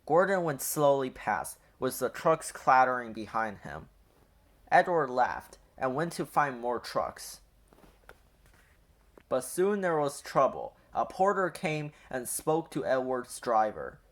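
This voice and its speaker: A man reading a children's storybook aloud in an expressive storytelling style. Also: medium pitch, takes it slowly. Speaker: children's book reader. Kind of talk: animated storybook read-aloud.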